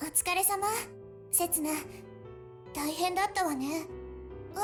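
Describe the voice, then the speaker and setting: A woman's voice: very high-pitched, normal speed, over music. An actress playing a tender but guarded, willful young woman in an anime visual novel.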